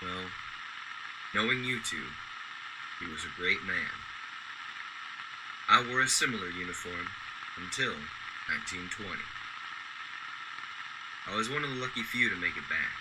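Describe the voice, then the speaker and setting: A man playing a kindly, melancholy old widowed war veteran in a radio drama, speaking in a measured, wistful way. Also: low-pitched, lively intonation, heavy background noise. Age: older.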